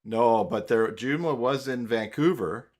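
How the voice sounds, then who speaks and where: An older retired man hosting a casual video-call interview, relaxed and reading out viewer questions. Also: mid-range voice, a little slow. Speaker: host.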